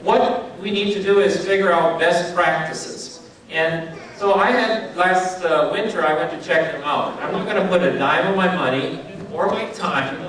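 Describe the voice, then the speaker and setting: A middle-aged man speaking informally to an audience at a charity event, chatty and checking in with listeners, heard through a PA speaker from a distance. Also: sits high. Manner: conversational, checks in with audience, many fillers. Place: event hall. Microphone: PA speaker, recorded from a distance. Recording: very echoey hall, voice from far off.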